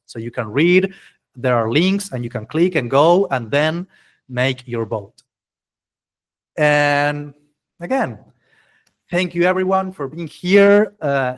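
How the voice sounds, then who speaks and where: A man hosting an informal tech community presentation, speaking enthusiastically in halting, repetitive English with non-native-sounding phrasing. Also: on the high side. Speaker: meetup host presenting project proposals.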